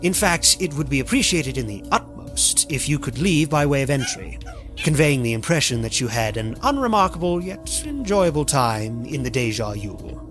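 A man's voice: medium pitch, big pitch swings, normal speed, a bit bright, faint music behind. A man voicing a suave, secretive animal leader in a cartoon fandub, speaking formally and wordily with polite menace. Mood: guarded, courteous. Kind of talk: dramatic comic fandub dialogue.

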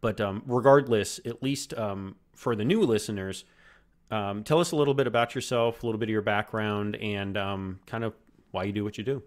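Low-voiced rambling podcast host. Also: male voice, normal speed. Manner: rambling, many fillers, loose and friendly. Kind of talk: casual video-call interview. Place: room at home. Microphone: headset with desk mic on video call.

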